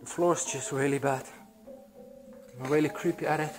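A young man with a Belgian accent narrating his exploration of an abandoned building to his vlog audience, excited and hushed, with many fillers, recorded on an action camera. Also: fairly high pitch, moderate pace, faint music behind. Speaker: urban explorer vlogger. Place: abandoned building, echoey halls and attic. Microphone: action camera, handheld or body-worn.

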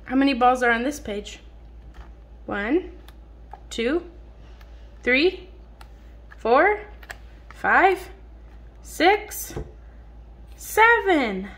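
A woman reading a picture book aloud to a young child in an expressive, child-directed way, drawing out words. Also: high-pitched, extremely slow, long pauses. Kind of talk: read-aloud storytelling to a young child, with simple questions. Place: indoors at home on a dark wood floor. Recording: noisy home phone recording.

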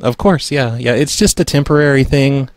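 Man, prank show host, medium pitch, casual and matter-of-fact, dry recording. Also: moderate pace, dry recording. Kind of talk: casual podcast talk and prank calling.

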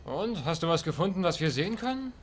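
An actor playing a laid-back divorced buddy in sitcom dialogue, casual and laconic. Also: male voice, on the high side, very animated, fast talker, over loud music. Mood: casual, eager. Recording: noisy audio with clicks.

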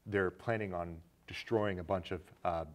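A man in his thirties talks in a fairly low voice. He is a film show co-host, explaining movies in a loose, conversational style. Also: steady tone, normal speed. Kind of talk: casual movie discussion, retelling a plot. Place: small indoor studio set with curtains.

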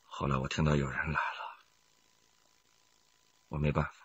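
Bright-voiced panicky murder suspect. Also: male voice, rumbling low, flat delivery, husky, full character voice. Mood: fearful remorse. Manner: agitated, halting confession. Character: frightened, remorseful male murder suspect confessing. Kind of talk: radio crime drama acting. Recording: dull lo-fi sound, faint noise.

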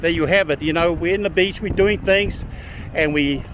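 A man's voice: a little high, normal speed, noisy background. A man chatting casually and slangily to viewers and passers-by as a friendly vlogger outdoors. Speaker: vlogger and street interviewer. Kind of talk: casual vlog chat and street interviews. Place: outdoors at a busy beach promenade.

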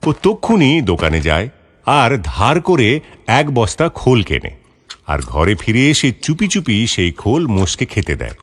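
A man's voice: mid-range voice, wildly swooping pitch, a little slow, slightly rough. A man narrating a scripted moral story for children. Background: background music.

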